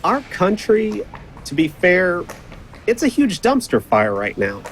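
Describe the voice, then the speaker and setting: A man's voice: high-pitched, huge pitch swings, takes it slowly, slight background noise, full character voice. An actor playing an idealistic, opinionated young man in an audio drama, earnest and emphatic. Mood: fervent.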